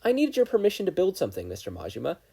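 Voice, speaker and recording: An earnest, excitable teenage boy student, voiced by a man in an audiobook. His voice is pitched up and swings around eagerly, with a polite, gushing manner. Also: rapid-fire, creaky, breathy edge, heavily acted. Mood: earnest, hopeful. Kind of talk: audiobook character voice.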